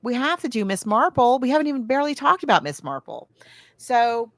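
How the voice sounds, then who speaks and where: A woman's voice: on the high side, sing-song, fairly quick, dry recording. A woman co-hosting a podcast, chatting casually and with gushing enthusiasm about films and books. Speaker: podcast co-host. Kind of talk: casual film and book discussion.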